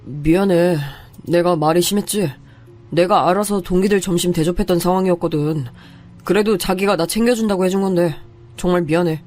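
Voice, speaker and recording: An actor playing a young husband in a radio story drama, clipped and dismissive, with faint music behind. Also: male voice, sits high, brisk, a little mellow, lightly acted. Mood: apologetic. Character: young husband, curt and defensive. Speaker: husband character.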